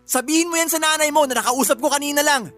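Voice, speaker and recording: A woman's voice: high-pitched, quick pace, fairly bright tone. A woman with a Filipino accent playing an angry, suspicious girlfriend in a radio drama, sarcastic and confrontational. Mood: angry, accusing. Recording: music under the voice. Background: background music.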